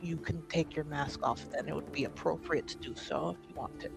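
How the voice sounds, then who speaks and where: Woman playing an easygoing fantasy companion, softly reassuring. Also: low-pitched, fairly even intonation, normal speed, creaky, faint music behind, voice pushed down. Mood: gentle, reassuring.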